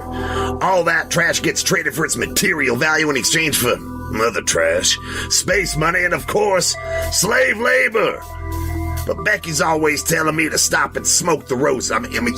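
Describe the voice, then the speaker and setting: A man's voice: fairly high pitch, gravelly, exaggerated voice. A man playing a rambling, laid-back, folksy researcher stranded in space, correcting himself as he goes in a comic audio monologue.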